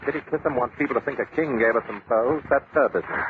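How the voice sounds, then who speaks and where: Casting: male actor as blunt, bossy aristocrat, gruff and quick-spoken, 1940s radio drama, hissy narrow sound. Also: medium pitch, close to an everyday voice. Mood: commanding, angry. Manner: brusque, commanding, blunt. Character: gruff, bossy lord, blunt and short-tempered. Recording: noisy, narrow-band old radio recording.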